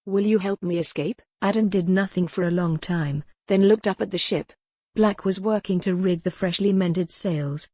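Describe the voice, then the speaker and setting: A woman's voice: on the low side, normal speed, warm tone, no echo. A woman reading scripted historical romance narration as an audiobook narrator, recorded close in a dry space.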